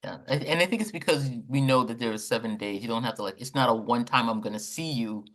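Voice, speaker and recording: Casting note: woman co-host with a very low voice, playful and teasing in a loose chat. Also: quick pace. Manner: joking, laughs along. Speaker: podcast co-host. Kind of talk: casual chat.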